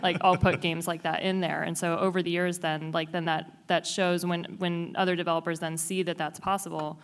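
A woman's voice: lowish voice, level tone, a little fast. A woman who organizes an indie game showcase, speaking casually on a panel and leaning on "like" and "um". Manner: casual, filler-heavy with frequent "like". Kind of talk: panel discussion.

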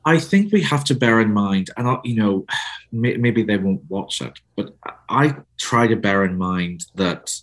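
A man's voice: fairly low pitch, lively intonation, unhurried. A man with a Northern Irish accent, an author talking as a guest in a conversational interview, thoughtful and hesitant with many restarts. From Northern Ireland.

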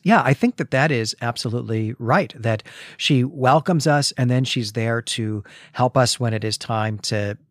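A man's voice: medium pitch, normal speed, dry recording, studio quality. A man co-hosting a literary podcast, explaining and analysing in a conversational way, often checking his point as he goes. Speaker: podcast co-host. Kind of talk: conversational literary discussion of a comic.

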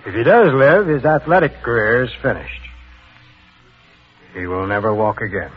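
A man's voice: medium pitch, normal speed, husky, natural voice. A man playing a solemn doctor giving a grim verdict in a 1940s radio dramatization, grave and portentous. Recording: muffled old radio broadcast with music.

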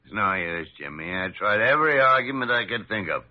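An actor playing a crusty, wise older doctor in an old-time radio drama, folksy and musing. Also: male voice, on the low side, lively intonation, a little fast, lightly acted. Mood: resigned. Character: wry, reasoning older male doctor, crusty but wise. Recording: dull vintage radio recording.

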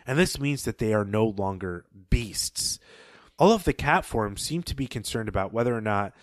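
A man co-hosting a podcast, casually discussing a story and recapping its plot in a thoughtful, measured way, with soft laughs. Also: on the low side, lively intonation, no room sound. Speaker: podcast co-host.

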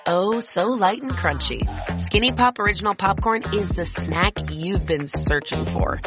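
A woman reads a promotional voice-over at a medium pitch. Prominent music runs behind her scripted delivery. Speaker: advert voice-over.

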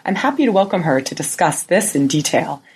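A woman hosts an academic book podcast in a low, slightly husky voice. She reads her introduction smoothly from a script, then asks her questions in a relaxed, conversational way. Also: a little fast. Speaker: podcast co-host. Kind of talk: podcast interview.

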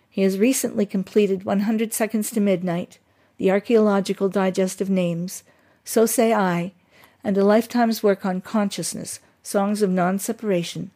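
A woman's voice: medium pitch, mellow. A woman reading a scripted biographical introduction as an announcer.

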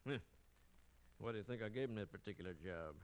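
A man's voice: on the low side, quick pace, lightly acted. An actor playing a crooked gambling hall owner and grudging henchman in an old radio western. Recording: noisy vintage radio recording.

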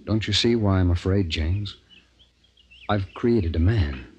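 An actor playing an anguished, secretive doctor in a 1950s radio drama, speaking haltingly and repeating himself. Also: male voice, low voice, highs cut off. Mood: intense, fearful. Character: anguished, secretive doctor confessing a forbidden experiment. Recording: noisy 1950s radio broadcast recording.

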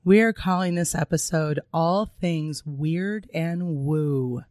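Hoarse, raspy woman co-host, easygoing chat, dry close sound. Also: sits low, slow pace, a little mellow, dry recording. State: hoarse from a sinus infection. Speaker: podcast co-host. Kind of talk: casual podcast chat.

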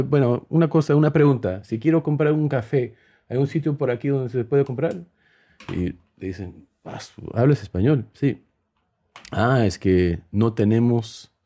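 A man's voice: mid-range voice, mellow. A man, native in English, telling casual stories in Spanish with a mixed Peruvian, Spain and Mexican accent, acting out dialogue. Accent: mixed Spanish with Peruvian, Spain and Mexican influences. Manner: acts out dialogue while telling anecdotes. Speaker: host. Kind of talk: casual conversational storytelling.

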